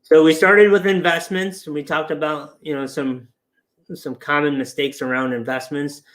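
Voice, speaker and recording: Man, 40s, financial planner, slightly higher pitch, relaxed explainer on a video call. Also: normal speed. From England. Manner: explanatory, relaxed, laughs easily. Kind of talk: casual webinar explanation. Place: home room, indoors.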